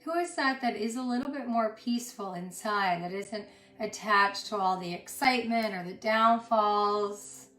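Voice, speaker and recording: Slow, soothing woman guiding yoga, roomy echo. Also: mid-range voice, sharp tone. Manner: soothing, unhurried, pausing between short phrases. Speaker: yoga instructor. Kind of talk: guided yoga and meditation instruction. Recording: roomy echo with music underneath. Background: music.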